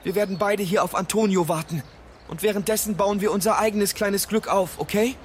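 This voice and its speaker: A man's voice: high voice, brisk, a little hiss or hum, lightly acted. An actor playing a devoted young son comforting his mother in a sentimental radio drama. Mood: tender, hopeful.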